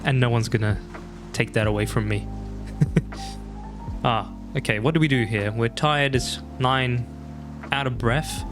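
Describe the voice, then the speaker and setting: Chatty young man narrating his gameplay. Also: medium pitch. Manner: chatty, laughs often, self-mocking asides. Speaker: gaming YouTuber. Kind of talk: casual let's-play commentary.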